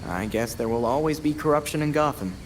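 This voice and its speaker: A man's voice: medium pitch, expressive, brisk, a little hiss or hum, lightly acted. A man playing an eager, wry young superhero sidekick in a video game, with an earnest, boyish manner. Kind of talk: cinematic video game acting.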